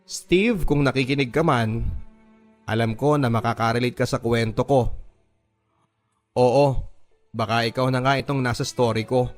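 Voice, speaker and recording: A man's voice: medium pitch, moderate pace, long pauses. A Filipino man reading listener letters as a radio host, in an earnest, sentimental, dramatic storytelling style. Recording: music behind the voice. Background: background music.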